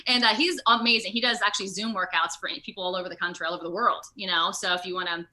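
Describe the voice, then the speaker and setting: An American woman talking casually in an interview over a video call, with an animated, rambling, self-deprecating manner. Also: medium pitch, racing. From Ohio, United States. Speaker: actor being interviewed.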